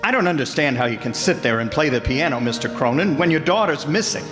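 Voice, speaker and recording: A man's voice: on the high side, animated, fast, natural voice. A man playing a persistent, earnest, plain-spoken detective in an old-time radio drama. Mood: insistent. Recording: echoey hall, faint music behind.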